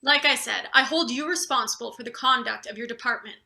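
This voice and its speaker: A woman's voice: a little high, fairly quick, creaky, bright, forceful tone, exaggerated voice. A woman playing a ruthless, irritable boss in a play reading, curt, accusatory and sarcastic. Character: ruthless, irritable female boss, sharp and threatening. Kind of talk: live table read of satirical stage play.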